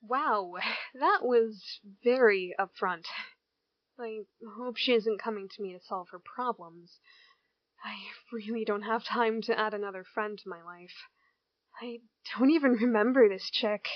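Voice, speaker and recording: Woman doing a bored teen girl talking to herself real slow, dry lo-fi audio. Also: a little high, no room sound, close to an everyday voice. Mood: wistful. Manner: slow, inward, murmuring thoughts. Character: bored teen girl from a small town, wistful.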